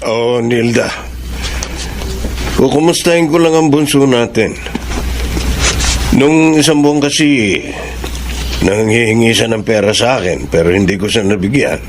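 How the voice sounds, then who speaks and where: A man's voice: medium pitch, a little slow, long pauses, background noise, natural voice. A man with a Filipino accent playing an apologetic, evasive middle-aged estranged father in a radio drama. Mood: casual.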